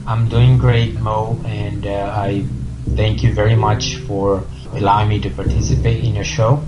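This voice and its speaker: A man from Brazil with a Brazilian Portuguese accent, a guest author answering interview questions in a halting way, with many fillers and restarts. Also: fairly low pitch, little pitch movement, lo-fi sound, over loud music.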